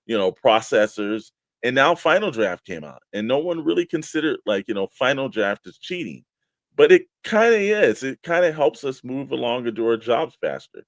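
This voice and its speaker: A man's voice: medium pitch, big pitch swings, moderate pace, dry recording. A man co-hosting a casual talk show about a hobby, speaking in an informal, rambling way. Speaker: show co-host. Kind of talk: casual chat about toy collecting.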